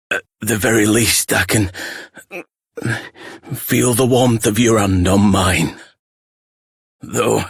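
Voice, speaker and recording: Dying hero dad in a game, really raspy and breathy, slow and halting, tender, full of regret. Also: male voice, mid-range voice, no room sound, studio quality, full character voice. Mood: weak, tender. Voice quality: husky, breathy. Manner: halting, solemn, tender, heartfelt. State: weak, dying. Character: wounded, regretful heroic father, an old adventurer, dying. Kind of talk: video game dramatic voice acting.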